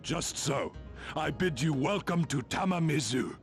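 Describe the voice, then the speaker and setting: Gravelly, lilting turtle merchant. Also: male voice, a little high, expressive, a little slow. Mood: genial, amused. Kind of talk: video game voice acting. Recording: music behind the voice.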